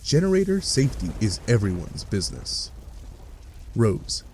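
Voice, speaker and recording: Low-pitched, animated male narrator. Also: normal speed, a little hiss or hum. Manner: wry, dry asides. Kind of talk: scripted second-person narration of a webcomic fan dub. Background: music.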